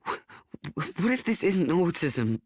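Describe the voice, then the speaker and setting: A young actor playing an anxious, self-doubting teenage boy, confiding in a halting, stammering radio play monologue. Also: fairly high pitch, very animated, slightly rough, dry recording, clean studio sound, full character voice. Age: young adult.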